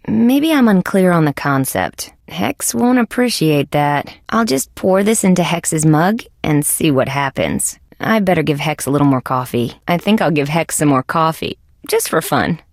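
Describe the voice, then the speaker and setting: Casting: sardonic female bug cop for an adventure game; lowish voice, creaky, dry wisecracks. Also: animated, a little slow, no echo. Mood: deadpan. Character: wry, sardonic female insect police detective. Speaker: video game character. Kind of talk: scripted adventure game voice acting.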